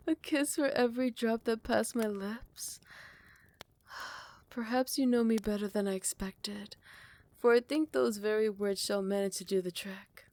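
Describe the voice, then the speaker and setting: A woman playing a possessive yet tender royal vampire in love, speaking in formal, old-fashioned phrasing with breathy half-whispers in an intimate ASMR roleplay. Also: fairly high pitch, animated, slow, long pauses, no room sound. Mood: teasing, yielding.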